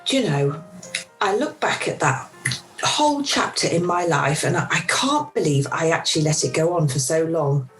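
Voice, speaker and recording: Woman acting a confiding, weary mother, lowish voice. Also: big pitch swings, moderate pace, rough recording. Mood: regretful. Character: Frankie, weary emotional mother of grown children, wryly confiding. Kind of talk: radio play dialogue. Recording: amateur video-call recording with music behind.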